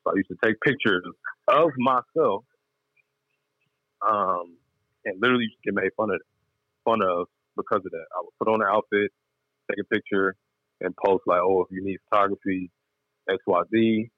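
A man's voice: lowish voice, normal speed, long pauses, no echo, highs cut off. A man guest on a chat show, telling his story candidly in laid-back slang. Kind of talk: casual interview chat.

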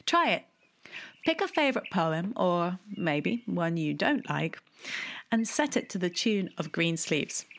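A woman, telling a personal memoir in a wry, deliberate way, with hushed asides. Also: lowish voice, big pitch swings, vocal fry. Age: 30s. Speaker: storyteller. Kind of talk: memoir storytelling.

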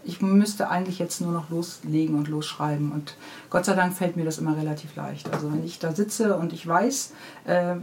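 A woman's voice: low-pitched, steady tone, normal speed, a little mellow, a little hiss or hum. A woman who writes children's books, answering interview questions in an unscripted, conversational way. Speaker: children's book author being interviewed.

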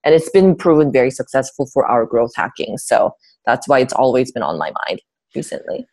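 A woman from South Korea, a startup founder, answering interview questions in a relaxed, chatty way with many fillers. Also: very deep voice, fairly quick.

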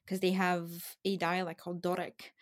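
A woman's voice: on the low side, completely flat delivery, moderate pace. Woman guest, enthusiastic chat. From Brazil. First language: Portuguese. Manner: thoughtful, enthusiastic, laughs often. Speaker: podcast guest. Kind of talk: casual podcast chat.

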